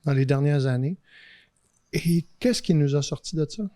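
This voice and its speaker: A middle-aged man with a Québécois French accent co-hosting a podcast. He speaks reflectively and asks probing questions. His voice is a bit high for a man but mellow, and it is recorded close and dry. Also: normal speed, no echo. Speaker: podcast co-host. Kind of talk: casual podcast conversation.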